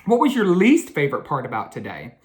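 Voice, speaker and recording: A woman's voice: sits low, wildly swooping pitch, fairly quick. A woman hosting a children's read-aloud, talking directly to young listeners and asking them questions.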